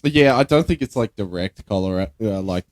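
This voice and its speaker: A man's voice: fairly low pitch, expressive, fairly quick. A young Australian man co-hosting a podcast, bantering casually and bluntly with plenty of swearing and slang. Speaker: podcast co-host.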